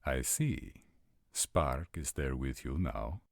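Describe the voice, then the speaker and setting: A man playing a stern, imperious vampire prince with slow, formal questioning in a roleplay audio drama. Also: a bass voice, lively intonation, vocal fry, no echo, studio quality, full character voice.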